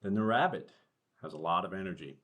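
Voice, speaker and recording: A middle-aged American man with a fairly low, husky voice talks to children as their superintendent. He is conversational and reassuring, pausing a story for friendly asides from an office desk. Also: lively intonation, fairly bright tone. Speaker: school superintendent reading aloud to pupils. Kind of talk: children's read-aloud with asides to listeners. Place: small indoor office.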